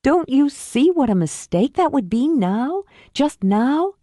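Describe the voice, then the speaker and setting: A woman's voice: high voice, big pitch swings, takes it slowly, warm tone, no room sound, studio quality, pitched above the performer's own voice, exaggerated voice. A woman voicing a protective older sister who speaks firmly, with an angry, urgent edge. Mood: outraged.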